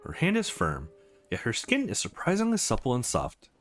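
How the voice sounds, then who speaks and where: A man's voice: medium pitch, huge pitch swings, normal speed. A man narrating a visual novel aloud as a let's play host, reading expressively and sometimes correcting a slip. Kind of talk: expressive read-aloud of game narration and dialogue. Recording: music playing behind the voice.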